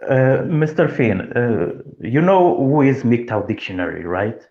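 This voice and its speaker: Slow, hesitant man on a muffled call. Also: medium pitch, animated, gravelly. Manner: hesitant, many uh's, halting English. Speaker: panel caller. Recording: dull lo-fi call audio.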